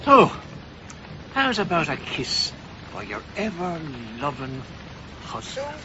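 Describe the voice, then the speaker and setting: An actor playing a menacing, wheedling down-and-out estranged husband in a radio drama, oily, mock-hurt and taunting. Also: male voice, a little high, sing-song, takes it slowly, dull audio, very noisy.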